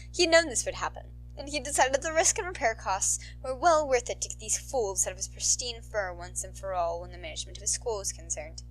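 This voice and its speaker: A woman, an amateur narrator, reading fan fiction aloud in an expressive, informal style. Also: medium pitch, slight background noise, low-quality recording. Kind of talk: animated fan fiction narration, casual asides.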